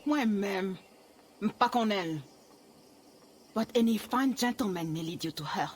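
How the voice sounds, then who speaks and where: A woman's voice: medium pitch, expressive, unhurried, slight background noise, close to an everyday voice. An actress playing a weary woman hurrying to her family in the mountains. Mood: hurried.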